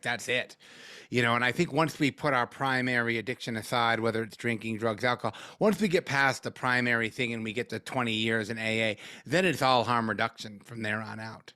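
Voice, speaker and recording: A man's voice: medium pitch, bright, forceful tone, no room sound. Chatty male meditation teacher, dry sound. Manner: conversational, repeats words, many "like" fillers. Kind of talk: casual teaching and Q&A.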